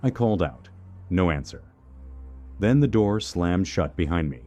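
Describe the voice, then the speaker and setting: A man reads a scripted first-person horror story in a measured, suspenseful way, using short clipped phrases. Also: low-pitched, animated, warm tone. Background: music under the voice.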